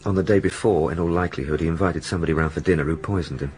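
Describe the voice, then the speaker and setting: An actor playing an earnest, methodical police sergeant in a radio drama, explaining eagerly. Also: male voice, low-pitched, rapid-fire, darkish tone, close to an everyday voice. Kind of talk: naturalistic British radio drama acting.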